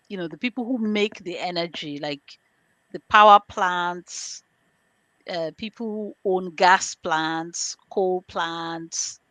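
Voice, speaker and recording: A woman's voice: on the low side, fairly bright tone, no room sound, poor audio. A woman with a Nigerian accent answering as an interview guest, explaining things conversationally in simple terms. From born in London, grew up in Nigeria. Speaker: interview guest, information governance specialist.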